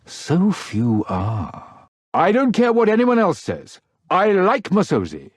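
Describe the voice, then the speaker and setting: An actor playing a sly, ingratiating rogue trader in a video game, speaking in a florid, insinuating, mock-polite way. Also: male voice, mid-range voice, a little mellow, husky, dry recording, studio quality, heavily acted. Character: smooth-talking, sly, ingratiating male rogue trader and gossip. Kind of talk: scripted video game character dialogue.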